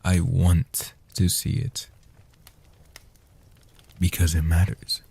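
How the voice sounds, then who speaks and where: Man as a deep, breathy guardian vampire. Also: little pitch movement, dark tone, heavily acted. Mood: tender, reassuring. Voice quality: breathy, husky, half-whispered. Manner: slow, soothing, reassuring. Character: gentle old male guardian vampire, tender and protective. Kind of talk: soft-spoken comfort audio roleplay.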